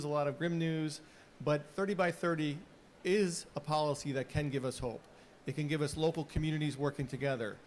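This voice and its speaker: A man's voice: on the high side, slight background noise. Hopeful man closing a conference event. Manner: hopeful, rallying, some restarts. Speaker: event host. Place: conference pavilion.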